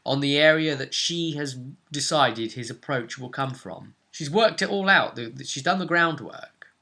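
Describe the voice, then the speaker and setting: Male game master, bright clear-cut tone, conversational and explanatory, talking through a tabletop session recap. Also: on the high side, expressive, normal speed. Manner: conversational, explanatory, acting out characters' lines.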